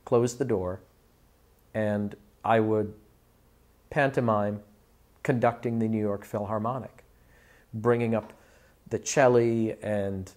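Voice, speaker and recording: A man in his forties speaking deliberately and articulately in a reflective interview as an audiobook director. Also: mid-range voice, a little mellow. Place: small office, indoors. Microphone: lapel mic.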